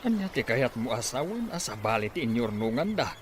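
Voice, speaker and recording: A man's voice: mid-range voice, very animated, fairly quick, natural voice. A man with a Filipino accent playing an exasperated, grumbling father of grown children in an audio drama. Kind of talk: animated Ilocano radio drama acting. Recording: faint background noise.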